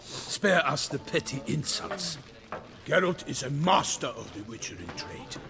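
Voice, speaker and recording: An actor playing a pompous, impatient, excitable knight in a fantasy game, speaking in theatrical, archaic phrases. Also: male voice, on the high side, unhurried, gravelly, full character voice. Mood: exasperated. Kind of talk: fantasy video game dialogue.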